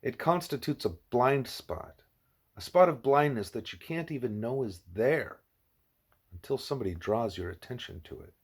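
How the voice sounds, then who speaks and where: A man voicing a grave, patient, wise animal teacher in an audiobook, speaking in a measured, formal, didactic way. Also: mid-range voice, animated, husky. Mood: patient, explaining.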